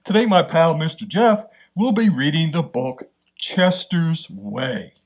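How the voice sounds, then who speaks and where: A man's voice: on the high side, animated, slow pace, full character voice. A man playing a friendly bear character who hosts a children's storytime, welcoming young listeners. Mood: cheerful. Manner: welcoming, addresses children directly. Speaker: host. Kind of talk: scripted children's show introduction.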